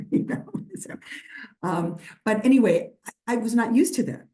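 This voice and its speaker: A woman's voice: a little high, normal speed, warm tone. An older woman from New York, a historian answering questions at a lecture, talking in a rambling way with many restarts and fillers. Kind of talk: conversational lecture Q&A.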